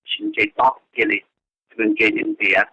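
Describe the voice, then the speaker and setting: A man's voice: fairly low pitch, steady tone, normal speed, bright, forceful tone, no room sound. Man on a muffled, lo-fi phone line. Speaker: conference call participant. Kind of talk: informal conference call talk. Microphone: telephone. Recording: dull, lo-fi telephone conference line.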